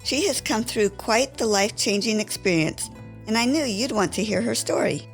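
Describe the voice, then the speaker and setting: A woman's voice: fairly high pitch, moderate pace. A woman hosting a podcast, reading a friendly scripted introduction and asking interview questions. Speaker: podcast host. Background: faint music.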